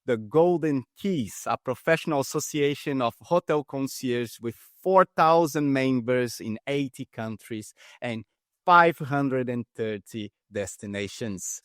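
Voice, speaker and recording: A man's voice: medium pitch, measured pace, dry recording, studio quality. Emphatic male podcast host. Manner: eager, emphatic introductions. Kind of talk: interview hosting.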